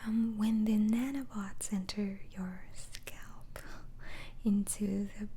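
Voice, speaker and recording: A woman whispering in an ASMR roleplay as a doctor interviewing a patient, hesitantly asking questions and repeating answers back in a breathy voice. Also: medium pitch, steady tone, takes it slowly, dark tone, slight background noise. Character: gentle, inquisitive female mental asylum doctor.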